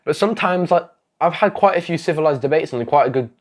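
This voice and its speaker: A man's voice: fairly high pitch, animated, quick pace. Sick young man rambling vlog advice. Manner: rambling, self-correcting, repeats phrases. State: sick, voice going. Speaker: YouTuber giving advice. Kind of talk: casual talking-head advice vlog.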